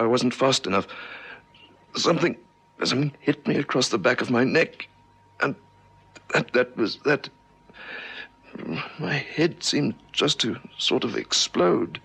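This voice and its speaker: A young newspaperman in a radio play, shaken and apologetic. His mid-pitched voice is husky and halting, tripping and repeating itself as he explains. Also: a bit bright, full character voice. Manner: hesitant, stammering, halting. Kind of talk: 1970s radio drama acting.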